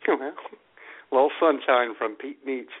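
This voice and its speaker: A man's voice: medium pitch, measured pace, narrow, tinny audio. A man co-hosting a comedy radio show, bantering casually, heard through thin, band-limited audio.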